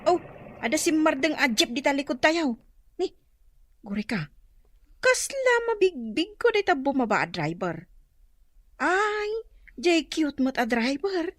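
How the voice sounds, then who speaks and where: A woman's voice: high voice, sing-song, a little slow, long pauses, dry recording. A woman playing a giggly, gossipy young best friend in a comedic radio drama. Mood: excited.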